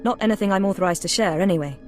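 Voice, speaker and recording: A woman playing an aloof, guarded intelligence handler in a sci-fi video game, crisp and businesslike. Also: medium pitch, rapid-fire, faint music behind, close to an everyday voice. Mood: composed, guarded. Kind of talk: scripted video game dialogue.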